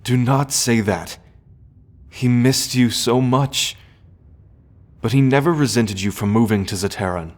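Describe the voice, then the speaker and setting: A man playing an earnest, loyal prince in a fantasy audio roleplay, speaking in a formal, measured and hesitant way. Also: medium pitch, close to an everyday voice. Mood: somber, grieving. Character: earnest, grieving prince, loyal sibling of the dragon heir.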